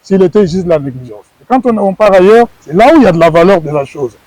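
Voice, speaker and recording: A middle-aged man from Congo speaks with a somewhat high but mellow, darkish voice. He answers earnestly, often stumbling and repeating words as he finds his phrasing. The sound is dry and close. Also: expressive, fast talker, no room sound, rough recording. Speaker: former wrestler, guest. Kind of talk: TV sports interview answers.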